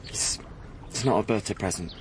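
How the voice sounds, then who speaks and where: A man's voice: on the low side, normal speed, a little hiss or hum, close to an everyday voice. A young man acting a blunt, romantic working-class drifter in a radio play, with colloquial, plain-spoken delivery. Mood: shy. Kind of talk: radio play acting and first-person narration.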